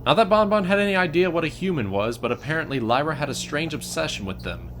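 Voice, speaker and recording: A man's voice: a little high, sing-song, fast talker, a bit bright, a little hiss or hum. A man reading scripted story narration as the narrator of an audio drama.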